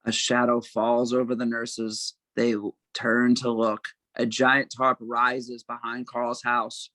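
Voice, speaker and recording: A man's voice: mid-range voice, monotone, slow, sharp tone, no echo. A man narrating stage directions from a script at an amateur table read.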